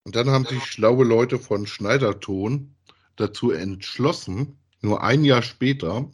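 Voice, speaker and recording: A middle-aged man co-hosting a podcast in a casual review chat about audio dramas, with a husky voice. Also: medium pitch, unhurried. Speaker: podcast co-host.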